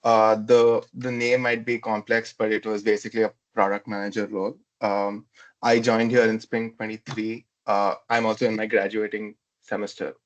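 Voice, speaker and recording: Grad student guy, lowish flat voice, short casual intro, muffled call. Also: normal speed. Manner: brief and casual. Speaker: graduate student panelist. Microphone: video call.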